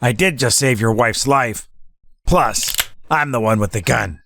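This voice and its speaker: Man playing a cool, gravelly gangster boss. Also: mid-range voice, unhurried, a bit bright, slight background noise. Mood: calculating. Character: cool, scheming gangster taking over as boss. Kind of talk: hardboiled 1940s gangster audio drama acting.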